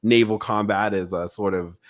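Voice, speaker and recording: A man's voice: fairly low pitch, fairly even intonation, moderate pace. A man hosting a podcast in a casual conversational style, musing informally and rambling a little through his explanations. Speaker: podcast host.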